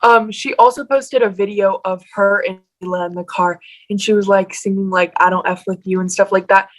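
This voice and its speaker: A woman's voice: medium pitch, steady tone, a little fast, poor audio. A teenage girl with a Mexican accent, a guest on a video call, talking in a chatty, upset way. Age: teens. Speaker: guest, fan page owner.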